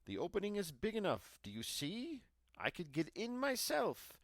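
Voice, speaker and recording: A man's voice: high-pitched, wildly swooping pitch, moderate pace, fairly bright tone, dry recording, pitched above the performer's own voice, full character voice. A man voicing a wicked old witch, cunning and greedy, who scolds and commands in a storybook reading. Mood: scornful.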